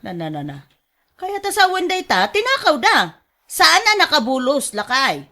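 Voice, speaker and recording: A woman with an Ilocano accent acting as a sly, fast-talking livestock seller in a radio drama, animated and exclamatory. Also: high voice, exaggerated voice. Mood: agitated.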